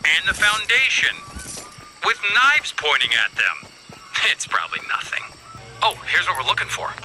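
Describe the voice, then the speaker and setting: An actor playing a vain, flippant scientist in a video game, with a wry, theatrical, self-satisfied delivery. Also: male voice, medium pitch, sharp tone, exaggerated voice, low-quality recording. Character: vain, flippant male scientist and resistance leader, playful and sarcastic. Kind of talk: scripted video game character dialogue.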